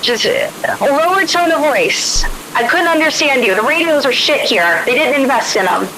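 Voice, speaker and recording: A woman's voice: high voice, animated, moderate pace, background noise. A woman playing an exasperated park worker on the radio in a tabletop game, casually nagging and coaxing a colleague. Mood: exasperated, insistent.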